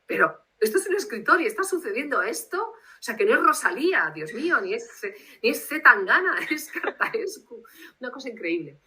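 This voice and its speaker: A woman's voice: on the high side, very animated, a little fast, pushed and bright, husky. A woman from Bilbao with a Basque Spanish accent, speaking as a guest translator on a video call in a reflective, rambling way. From Spain, Bilbao.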